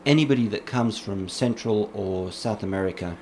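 A man's voice: on the low side, moderate pace, a little mellow, slight background noise. A man who is a guest in an interview, answering in an assured, agreeable and explanatory way. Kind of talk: casual interview.

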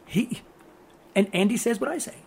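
A man's voice: very high-pitched, lively intonation, normal speed, gravelly, a little mellow, slightly rough. A man with a New York accent hosting a political talk radio show, delivering an earnest, preachy monologue that repeats phrases for emphasis.

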